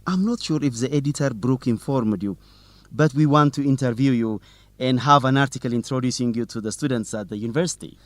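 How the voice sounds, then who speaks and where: An actor playing a polite but persistent young student reporter doing an interview in an educational radio drama. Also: male voice, medium pitch, fairly quick, dry recording, lightly acted. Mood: polite, formal. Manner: formal, courteous, probing.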